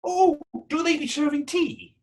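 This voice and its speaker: A man's voice: very high-pitched, fairly even intonation, a little slow. A man in a readers theater drama playing a defiant young Irish street vagrant, taunting and sarcastic, with an Irish accent. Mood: mocking. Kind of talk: readers theater stage acting.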